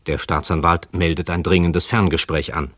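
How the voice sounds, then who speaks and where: A man narrating a scripted crime radio drama in a measured, factual way. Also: sits low, fairly even intonation, a bit bright.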